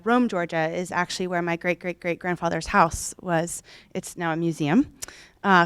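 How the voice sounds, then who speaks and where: A woman in her thirties from Oklahoma, speaking as a guest lecturer at a podium microphone in a lecture room, warm and personal with frequent restarts. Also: fairly low pitch, smooth, no room sound. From Oklahoma, United States. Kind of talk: public lecture. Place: lecture room with a painting behind.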